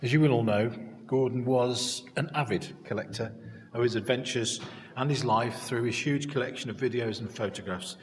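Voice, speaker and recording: A man's voice: mid-range voice, normal speed, slight background noise. Man officiating in an echoing hall. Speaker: funeral officiant. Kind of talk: formal spoken introduction at a service. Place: crematorium chapel during a funeral service. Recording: echoey hall reverb.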